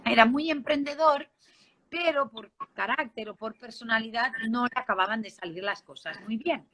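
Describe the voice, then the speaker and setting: A woman with a Barcelona Spanish accent tells her own story in a high, very bright voice. She speaks informally, like an interview guest, often checking that the listener follows. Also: normal speed. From Spain, Barcelona. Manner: conversational, seeks agreement with tag questions.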